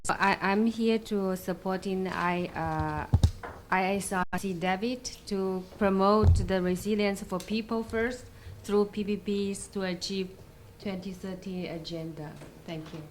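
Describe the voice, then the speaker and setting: A woman's voice: mid-range voice, unhurried, slight background noise. A woman giving a brief, hesitant, formal self-introduction at a conference. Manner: hesitant, non-native phrasing. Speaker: conference participant.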